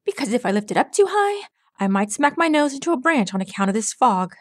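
A woman's voice: on the high side, very animated, brisk, no room sound, studio quality, pitched above the performer's own voice. A woman voicing a grumpy, bookish young male earth pony who grumbles in a proud, well-spoken way. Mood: grumbling.

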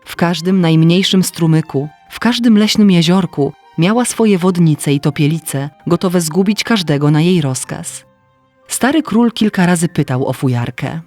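A man's voice: on the high side, animated, moderate pace, darkish tone, soft background music. A man narrating a scripted fairy tale for children as a storyteller.

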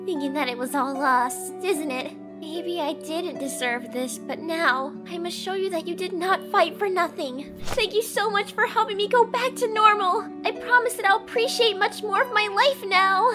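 A woman voicing an excitable, devoted young cartoon hedgehog girl in a melodramatic, squealing, pleading way for a comic dub. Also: extremely high pitch, moderate pace, hardly any pauses, over music. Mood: soft, remorseful.

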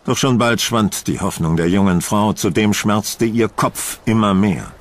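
A man narrating a horror audio drama in a dramatic, deliberate storytelling manner, as a brooding gothic storyteller. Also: lowish voice, slight background noise, close to an everyday voice. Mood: suspenseful.

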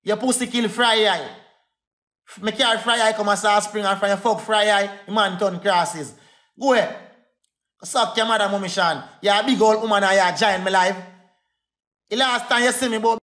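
A man's voice: sits high, steady tone, fairly bright tone. A man with a Jamaican Patois accent ranting angrily on a phone livestream, repeating insults over and over. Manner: repetitive, insulting, fast heated rant. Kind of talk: angry livestream rant.

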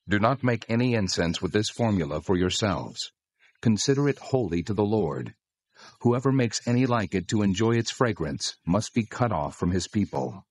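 A man playing God in a dramatized scripture reading, speaking solemn, deliberate commands with authority. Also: low voice, husky, no echo. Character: God, solemn male deity giving commands.

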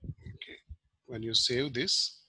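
A man giving an informal screen-share software tutorial as a trainer, explaining step by step in halting short phrases. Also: medium pitch, husky, no room sound.

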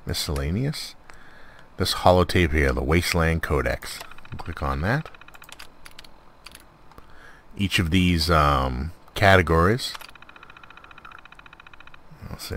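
A man with an extremely deep voice talks through a game as he plays. He is laid-back and conversational, like a host showing viewers around. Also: a bit bright, slight background noise. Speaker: host of a game mod showcase. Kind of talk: casual gameplay commentary.

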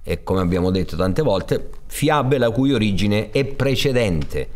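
A man, introducing a show to an audience in an explanatory, discursive way with pauses. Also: fairly low pitch, lively intonation, darkish tone. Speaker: theatre director introducing a storytelling show. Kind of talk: spoken introduction to an audience, partly read. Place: indoor theatre stage.

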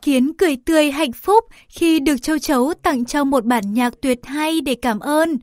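Female storyteller for kids' tales, high voice, careful phrasing, slight noise and clicks on the recording. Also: moderate pace, a little mellow. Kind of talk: scripted children's story narration.

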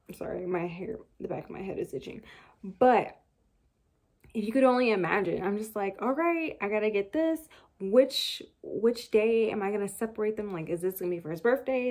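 A woman's voice: medium pitch, darkish tone. Young female vlogger, casual haul chat, rambly filler-heavy delivery, desk camera in a home room. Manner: chatty and rambling, many fillers. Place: bedroom beauty room at home, indoors.

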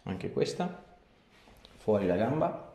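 A man with a fairly low voice works through a hands-on consultation. He gives short instructions and asks simple questions. The small treatment room adds a clear echo. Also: big pitch swings, takes it slowly, mellow. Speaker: chiropractor.

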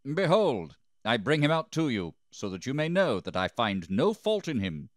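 Roman governor for a scripture drama, played by a male reader: commanding but uneasy, declamatory, wide swings of pitch, close dry sound. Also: sing-song, normal speed, dry recording. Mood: proclaiming. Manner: declamatory, questioning.

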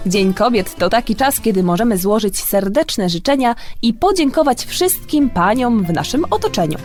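A woman hosting a children's bedtime radio show, presenting and telling stories in an expressive way for young listeners. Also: on the high side. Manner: expressive, drawn-out delivery for children. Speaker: radio host and storyteller. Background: music.

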